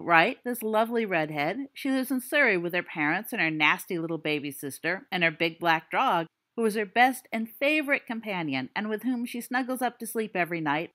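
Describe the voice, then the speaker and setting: A woman's voice: medium pitch, a bit bright, lightly acted. A woman voicing a cocky teenage boy who tells a spooky story in a theatrical, teasing way.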